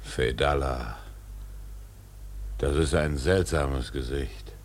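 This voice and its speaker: A man playing a brooding, obsessed old whaling captain in a radio drama, with gravelly, weighty speech. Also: rumbling low, slow, exaggerated voice. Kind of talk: German radio play acting.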